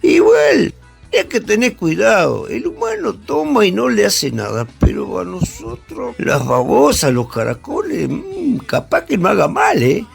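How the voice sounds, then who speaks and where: A man's voice: high voice, huge pitch swings, a little slow, vocal fry, soft background music, heavily acted. A man with an Argentine accent playing a distrustful little snail in a radio fable, worried, hesitant and stammering. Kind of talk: radio fable acting with music bed.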